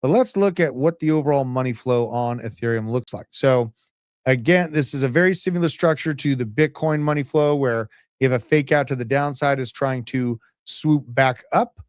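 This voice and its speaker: A man in his thirties hosting a livestream, giving brash, animated market commentary and chatting with live viewers. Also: mid-range voice, moderate pace, clean tone, no echo, studio quality. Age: 30s. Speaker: crypto livestream host.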